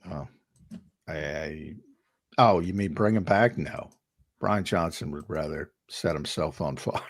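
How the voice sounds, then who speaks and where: A man on a sports talk show, his voice a little hoarse and worn. He argues with emphasis and often stumbles into a point by repeating its first words. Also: a bass voice, unhurried. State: losing his voice. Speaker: co-host and analyst.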